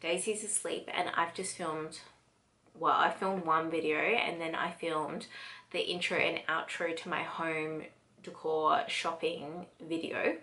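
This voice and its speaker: An Australian woman in her thirties talks to the camera in a casual, chatty vlog style. Her voice sits a little low. She is recorded at home in a small furnished room, a short distance from the camera. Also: sharp tone. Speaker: vlogger and mother of a toddler. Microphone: camera a short distance in front.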